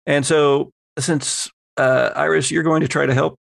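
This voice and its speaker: A man's voice: fairly high pitch, flat delivery, measured pace, vocal fry, dry recording, studio quality. A man acting as game master, narrating a horror tabletop roleplaying game in a conversational, improvised way. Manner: conversational, improvising scene descriptions.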